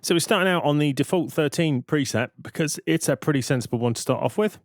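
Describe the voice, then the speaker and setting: A man giving a casual, matter-of-fact software tutorial as a plain-spoken voice-over. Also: on the high side, fast talker, no room sound, clean studio sound. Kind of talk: casual tutorial narration over screen recording.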